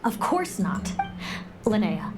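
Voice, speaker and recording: A woman voicing an aloof, world-weary fae seer in a video game, speaking in a cool, measured, curt way. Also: mid-range voice, sing-song. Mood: solemn, cryptic. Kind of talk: voiced video game dialogue. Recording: clear background music behind the voice.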